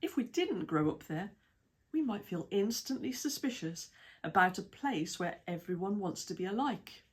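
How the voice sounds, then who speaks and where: Breathy British woman reading an essay. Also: medium pitch. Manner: measured, even reading with lists of titles and dates. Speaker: reader of an article. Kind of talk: read-aloud essay narration.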